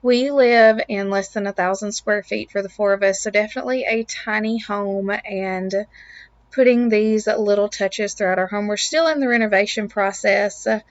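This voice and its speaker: A young woman with a raspy voice and a lingering cough, talking in an upbeat, earnest way as a casual vlog voiceover about home and family. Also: medium pitch, moderate pace, no room sound, lo-fi sound. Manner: upbeat, earnest, faith-filled. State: lingering cough, throat clearing. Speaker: lifestyle vlogger, mom of two.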